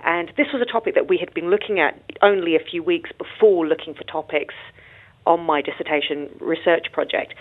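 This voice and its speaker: A woman with a British accent, a guest on a radio interview, chatty and modest, who explains her work at length. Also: fairly low pitch. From United Kingdom. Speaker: guest, international space lawyer. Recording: tinny phone-line sound.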